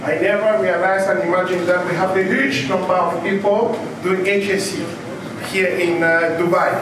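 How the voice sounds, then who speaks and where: A man's voice: high voice, level tone, normal speed. A man from Cameroon giving an earnest, grateful speech at a community gathering, telling rambling anecdotes. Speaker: safety professional giving a speech. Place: large echoey event space. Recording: echoey far-off room recording, noisy.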